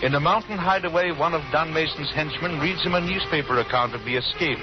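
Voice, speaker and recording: Old radio narrator guy, higher voice, music behind, muffled. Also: fairly quick. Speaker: announcer and narrator. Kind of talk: 1930s-40s radio announcing, scripted narration.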